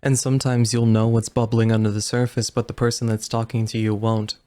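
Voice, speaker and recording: A man's voice: lowish voice, little pitch movement, a little fast, dark tone. A man speaking in an earnest, emphatic way, drawing on his own experience in casual conversation.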